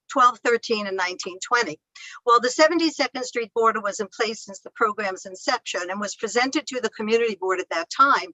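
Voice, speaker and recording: A woman's voice: medium pitch, sharp tone, dry recording, poor audio. A woman reading a prepared statement at a community board meeting, firm and formal.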